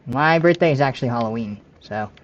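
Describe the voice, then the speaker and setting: A man in his late twenties talks with his stream viewers in a loose, rambling way, often stopping to correct himself. His voice sits at an ordinary middle pitch. The audio is slightly dull and lo-fi. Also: lively intonation, a little fast. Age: 20s. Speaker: game streamer. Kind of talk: casual live-stream chat with viewers.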